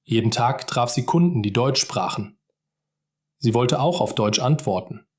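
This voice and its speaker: A man tells a simple teaching story for German learners at a very slow, unhurried pace. Every sentence is short and deliberate. He turns to the listener often and coaxes them along. His voice sits in a middle pitch. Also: animated, breathy edge. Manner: deliberate, encouraging direct address to the listener.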